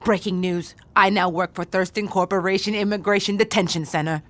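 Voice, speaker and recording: A woman's voice: medium pitch, steady tone, a little fast, fairly bright tone, lightly acted. A woman playing a proud, playful young American daughter in a radio play, eager and girlish. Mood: playfully proud. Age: young adult. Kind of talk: naturalistic radio play acting.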